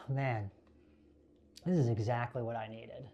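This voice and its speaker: Mellow, low-key lone survivor played by a man. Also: steady tone, slow. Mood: relieved, reflective. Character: lone male survivor filming himself, weary but easygoing. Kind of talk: found footage horror monologue, casual vlog-like narration.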